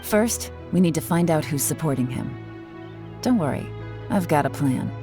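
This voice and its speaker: A woman's voice: low voice, animated, normal speed. A woman playing a cool, shrewd female secret agent in a video game, speaking in a composed, calculating, wry way. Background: faint game music.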